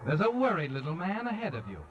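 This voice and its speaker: An actor playing an ominous, knowing narrator in a 1940s radio mystery, insinuating and dramatic, addressing the listener as the culprit. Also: male voice, sits high, wildly swooping pitch, fast talker, noisy background. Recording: dull vintage 1940s radio recording. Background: dramatic music.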